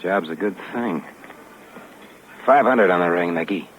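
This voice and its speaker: An actor playing a shrewd, offhand fence in a 1950s radio crime drama, terse and businesslike. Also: male voice, low-pitched, measured pace. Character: shrewd, casual male fence buying stolen goods. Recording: muffled, hissy 1950s radio recording.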